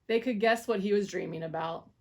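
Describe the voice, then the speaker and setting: A woman's voice: medium pitch, fairly quick. Woman reading a storybook aloud. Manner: expressive, animated storytelling. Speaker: children's story reader. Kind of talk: reading a picture book aloud to children.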